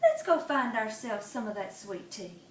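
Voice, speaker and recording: A woman's voice: a little high, sing-song, normal speed, a little hiss or hum. A woman doing a fussing mother possum. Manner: scolding, doting. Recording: echoey room, mic at a distance.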